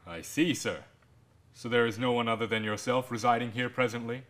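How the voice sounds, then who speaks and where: An actor in a radio drama playing a courteous police inspector who speaks in a formal, measured way. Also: male voice, medium pitch, steady tone, fairly bright tone, close to an everyday voice. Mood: polite probing.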